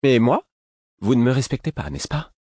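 A man's voice: on the low side, sing-song, normal speed, a little mellow, dry recording, clean studio sound, voice pushed up. A man voicing a brash young woman, a malicious rival with a sly, taunting manner, in an audiobook story.

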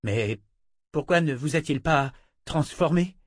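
A man's voice: a little high, expressive, unhurried, dry recording, clean studio sound, pitched above the performer's own voice. A man voicing an anxious, earnest young woman inquisitor in an audiobook, pleading. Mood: worried. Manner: anguished, pleading. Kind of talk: audiobook character voice.

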